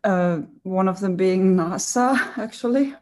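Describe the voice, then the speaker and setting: A woman from Iceland with an Icelandic accent, a design agency co-founder telling her story in an interview, relaxed and full of fillers and self-corrections. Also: medium pitch, measured pace. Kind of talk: conversational interview answers.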